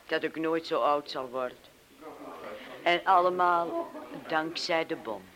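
A man's voice: on the high side, slow pace, pushed and bright. An actor playing a blunt, cynical young man in a radio play, probing in manner. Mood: bitterly flippant.

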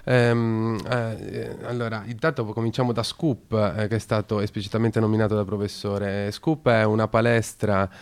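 A male radio co-host with a low, gravelly yet smooth voice. He explains things in long, halting sentences, stopping often to search for words, and his delivery stays flat and even. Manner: hesitant, lots of fillers and restarts. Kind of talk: radio talk explaining a topic.

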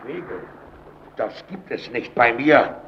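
An actor playing a domineering, suspicious and irritable lighthouse keeper in an old German radio drama, speaking in a stern, interrogating way. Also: male voice, medium pitch, animated, slow, gravelly. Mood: angry, commanding. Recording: dull, noisy old radio recording.

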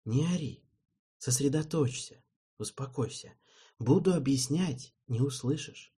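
A man voicing a calm, patient young male king who speaks quietly and slowly. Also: mid-range voice, breathy edge. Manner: quiet, soothing, measured.

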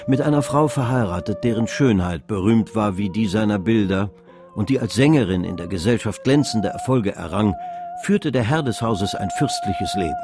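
An actor narrating a literary radio drama as a wry, worldly storyteller, measured and ironic. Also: male voice, on the low side, wildly swooping pitch, over music. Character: wry, worldly male storyteller of Parisian high society.

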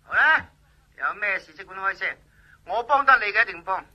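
An actor playing a brash, self-important police officer in a Cantonese radio drama, speaking pompously and emphatically through a telephone filter with a Hong Kong accent. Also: male voice, high voice, animated, fairly quick. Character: brash, self-important police special investigations lieutenant colonel. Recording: muffled telephone-line sound.

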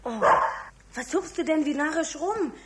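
A small Bavarian boy in an old radio play, with a very high, lively, childlike voice. He sounds eager and innocent, over clear recording noise. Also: unhurried. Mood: sneaky, coaxing. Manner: childlike, pleading, protesting. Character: greedy, innocent little schoolboy, eager to please.

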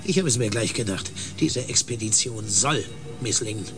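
A man's voice: on the low side, lively intonation, moderate pace, lightly acted. An actor playing a bitter, suspicious male space crew member in a radio drama, resentful in manner. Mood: bitter suspicion. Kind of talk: German radio drama acting. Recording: music clearly underneath.

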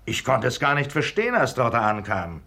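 An actor playing a composed, reassuring police inspector in a 1950s radio play, measured and matter-of-fact. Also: male voice, medium pitch, sing-song, vocal fry, sharp tone, exaggerated voice. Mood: matter-of-fact explaining. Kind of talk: 1950s German-language radio play acting.

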